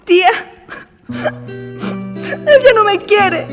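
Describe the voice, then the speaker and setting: A woman's voice: very high voice, animated, very slow, over music, heavily acted. A woman playing an emotional, pleading young woman in love in a Chilean radio drama. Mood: distraught. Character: lovelorn young women, tearful sweetheart and her concerned friend.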